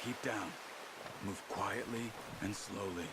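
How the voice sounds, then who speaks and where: An actor playing a calm, patient hunter in a western game, giving terse instructions to a companion. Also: male voice, lowish voice, slow pace, very noisy. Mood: hushed, focused. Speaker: video game character. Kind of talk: naturalistic western game drama acting. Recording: music behind the voice.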